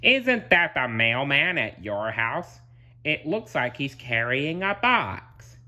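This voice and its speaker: A man's voice: medium pitch, very animated, takes it slowly, pushed and bright, voice pushed up, exaggerated voice. A man voicing a grumpy, snooty cartoon neighbour with a drawling, irritated manner. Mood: sly. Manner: drawling, snarling.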